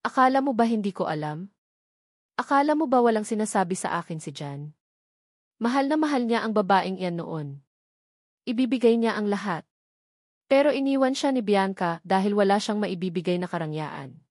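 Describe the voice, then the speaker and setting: A woman's voice: medium pitch, lively intonation, normal speed, pauses often, a little mellow, dry recording, studio quality, lightly acted. A woman voicing a bitter, angry elderly mother who reproaches in defense of her son, in an audio drama. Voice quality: hard, trembling voice. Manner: accusing, reproachful.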